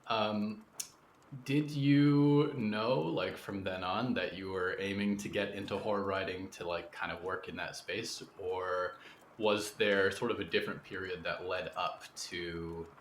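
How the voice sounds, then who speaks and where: A man's voice: sits low, steady tone, unhurried. Echoey room, faint noise, man, podcast host, casual interview, tentative meandering phrasing. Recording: roomy echo with faint background noise.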